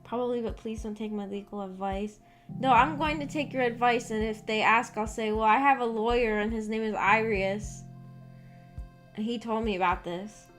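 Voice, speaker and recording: A woman's voice: fairly high pitch, steady tone, normal speed, fairly bright tone. A woman streamer chatting casually with viewers, telling stories in a rambling, hesitant way and reading out comments as she goes. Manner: rambling, hesitant storytelling with frequent "like". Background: background music.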